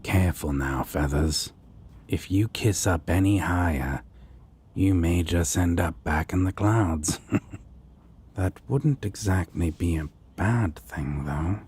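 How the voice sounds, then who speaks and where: A man playing a sardonic devil roommate in a romantic audio roleplay, with a husky rasp, drawling and teasing but doting on his sweetheart. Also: low voice, slow pace, a little mellow, full character voice. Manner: taunting, drawling, playfully mocking.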